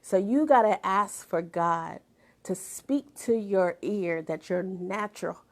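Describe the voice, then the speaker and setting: A middle-aged woman speaking at a mid pitch with a gravelly edge. She gives a motivational talk like a sermon, hammering points home through repetition and now and then sinking to a hushed whisper. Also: slow. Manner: emphatic, repeats phrases for effect, drops to whispers. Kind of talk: inspirational talk with preaching.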